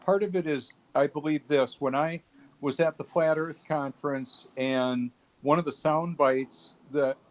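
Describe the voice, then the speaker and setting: A man's voice: fairly high pitch, steady tone, a little slow, poor audio. A man on a casual video-call chat, telling a rambling story in an earnest way.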